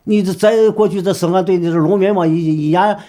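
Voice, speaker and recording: A man's voice: high voice, steady tone, fast talker, mellow. A man talking colloquially and without a script as an interviewee. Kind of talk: unscripted, colloquial interview talk.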